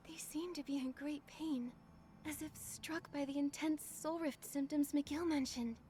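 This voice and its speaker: An earnest young healer from a video game. Her voice is high and soft, with a breathy, caring delivery. Faint noise sits in the background. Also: fairly even intonation, measured pace. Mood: gentle concern. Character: gentle, earnest young female adventurer and healer.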